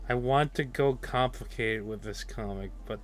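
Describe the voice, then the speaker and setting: A man hosting a work stream, chatting casually and hesitantly. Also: medium pitch. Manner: hesitant, unhurried. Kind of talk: casual, halting livestream chat. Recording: faint music behind voice. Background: faint music.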